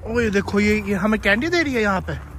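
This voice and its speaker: A young man vlogging while walking outdoors around a farm, chatting excitedly and hurrying his companions along, recorded on a handheld phone. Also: high-pitched, fairly quick, slight background noise. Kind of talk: casual walking vlog. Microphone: handheld phone camera.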